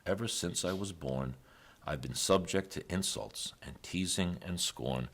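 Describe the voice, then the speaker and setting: A man's voice: low-pitched, a little slow, a bit bright, full character voice. A man voicing a small, weak young donkey in a storybook, frightened, pleading and full of self-doubt. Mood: dismayed, hopeless. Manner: pleading, doleful.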